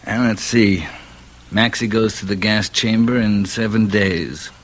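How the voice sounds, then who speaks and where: A smooth male gangster boss played by an actor in a vintage radio crime drama. He speaks in a lowish voice with a genial, coolly amused manner and menace kept just under the surface. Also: unhurried, lightly acted. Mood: calm menace.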